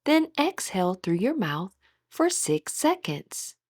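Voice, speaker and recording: A woman with a breathy voice gently leading a guided meditation as an instructor, in measured, scripted phrases. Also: medium pitch, very animated, no room sound, clean studio sound.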